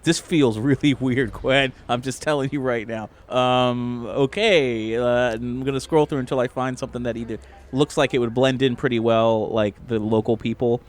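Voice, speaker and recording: A man's voice: medium pitch, steady tone. Rambling man at a tabletop game. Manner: rambling, halting. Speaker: tabletop role-playing player. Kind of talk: casual improvised role-play chat. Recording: faint background noise.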